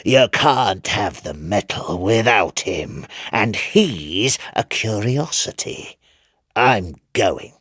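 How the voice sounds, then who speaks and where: A man voicing a sly, menacing devil in a storybook reading, breathy and whispery, with slow, drawn-out, sinister delivery. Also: fairly low pitch, animated, fairly bright tone, dry recording, clean studio sound, full character voice. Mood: coaxing, menacing. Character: sly, menacing male devil chanting rhymes.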